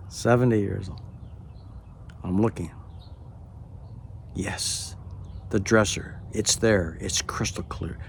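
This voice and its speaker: A man in his late seventies telling a personal story in a hesitant way, with many pauses, and often dropping to a near-whisper. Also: low voice, creaky, slight background noise. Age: late 70s. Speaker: storyteller recounting a personal experience. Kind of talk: unhurried spoken personal narration.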